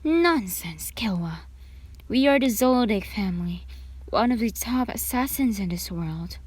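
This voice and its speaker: Commanding male assassin elder, voiced by a woman: proud, indignant, breathy raised delivery for fan fiction. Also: medium pitch, expressive, measured pace, no echo, voice pushed up. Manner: commanding, pompous. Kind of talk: anime fan fiction character reading.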